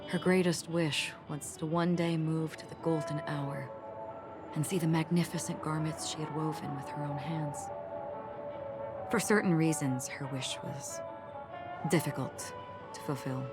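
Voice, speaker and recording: An actress voicing a calm, melancholy wandering swordswoman in a video game, telling stories in a measured, reflective way. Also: low voice. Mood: wistful, reflective. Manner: measured, wistful, reflective storytelling. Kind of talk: scripted video game story dialogue. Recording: music behind the voice. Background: game background music.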